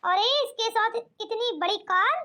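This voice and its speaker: A woman's voice: extremely high pitch, steady tone, measured pace, bright, forceful tone, heavily acted. A woman voicing a bossy, scheming village mother-in-law in an amateur cartoon comedy, nagging and muttering. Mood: grudging, envious.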